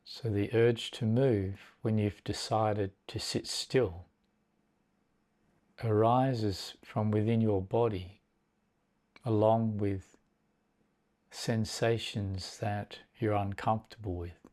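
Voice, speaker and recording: A man with a fairly low voice teaches guided meditation. He speaks very slowly and deliberately. Short phrases are set apart by long, measured pauses. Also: fairly even intonation, a little mellow.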